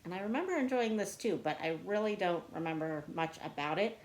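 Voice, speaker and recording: A woman's voice: lowish voice, expressive, a little fast, low-quality recording. A woman book vlogger chatting casually to camera in a chatty, self-deprecating way. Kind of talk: casual chat to camera answering book tag questions.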